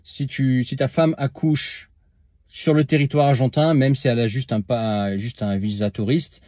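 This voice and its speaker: A man's voice: mid-range voice, fairly even intonation, moderate pace, mellow, no echo, clean studio sound. A man talking casually to camera as a vlogger, answering a viewer's comment with many filler sounds and restarts, speaking to the viewer informally.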